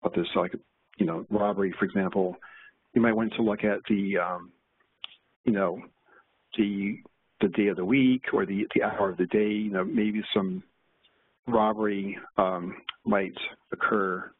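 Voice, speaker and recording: A man walking through a software demo at a meetup, talking in a halting way with many fillers and restarts. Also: on the low side, steady tone, husky, dry recording. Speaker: presenter. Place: meetup room. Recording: tinny, lo-fi meetup recording.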